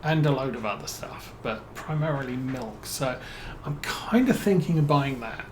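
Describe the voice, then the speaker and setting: A man with a British accent giving relaxed let's-play commentary as a streamer, musing and thinking aloud with plenty of fillers. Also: mid-range voice, moderate pace, slight background noise. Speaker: game streamer.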